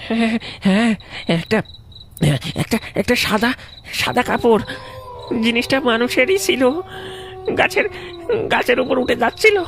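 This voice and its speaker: A woman's voice: a little high, big pitch swings, unhurried, gravelly, pauses often, heavily acted. A woman playing a frightened villager in a cartoon horror story, stammering and repeating words. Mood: terrified.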